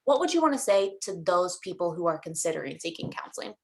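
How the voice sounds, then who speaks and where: A woman's voice: lowish voice, very animated, fast talker, gravelly, darkish tone. A woman interviewing a colleague, asking friendly, rambling questions with many fillers. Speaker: interviewer, fellow counselor.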